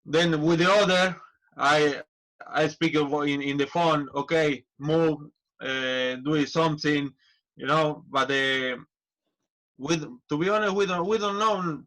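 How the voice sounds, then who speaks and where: A man, a football manager and former player, chatting as a podcast guest in halting non-native English with frequent restarts. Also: a little high, fairly bright tone, poor audio. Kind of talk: casual interview chat.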